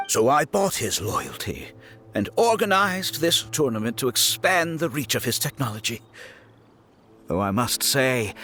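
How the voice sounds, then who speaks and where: A man's voice: a little high, pushed and bright, heavily acted. A man voicing a suave, scheming game villain with a grandiose, polished and condescending manner. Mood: smug. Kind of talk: video game voice acting.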